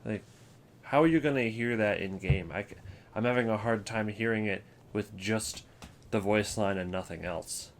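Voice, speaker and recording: A man's voice: lowish voice, moderate pace, slight background noise. A man hosting a casual ranking video with wry, offhand commentary. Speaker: video host.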